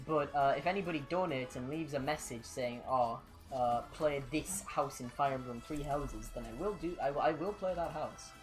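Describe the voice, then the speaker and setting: A man's voice: mid-range voice, moderate pace, few pauses, rough recording. A young man hosting a charity game stream, chatting casually and rambling with lots of fillers and repeats. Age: young adult. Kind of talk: casual chat while streaming games. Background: loud music.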